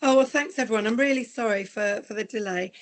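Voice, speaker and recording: A woman with a croaky voice from recent illness, giving an earnest, explanatory online presentation. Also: fairly high pitch, fast. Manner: earnest, explanatory, asks for slide changes. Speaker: guest presenter.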